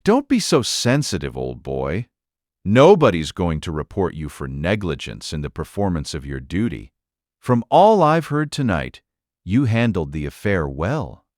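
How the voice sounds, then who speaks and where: Deep-voiced genial colleague, swooping intonation. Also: male voice, wildly swooping pitch, measured pace, a little mellow, no echo, clean studio sound, heavily acted. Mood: genial, reassuring. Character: Samson, genial male colleague, reassuring old friend. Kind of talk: full-cast audiobook mystery drama.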